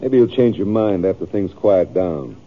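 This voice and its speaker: A stubborn American cattle rancher in a radio western. His low voice moves up and down a good deal as he insists and justifies himself in folksy talk, on a thin, phone-like old recording. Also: expressive, moderate pace.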